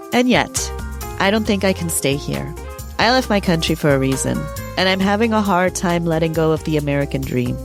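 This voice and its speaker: A woman from Peru reading scripted narration for a podcast trailer as its host. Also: on the low side. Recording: music bed under voice. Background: music.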